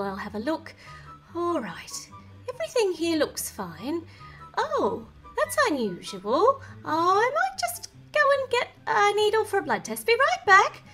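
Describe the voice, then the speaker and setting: A woman voicing a kindly doctor doll in children's toy play, gentle, chatty and reassuring. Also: very high voice, full character voice.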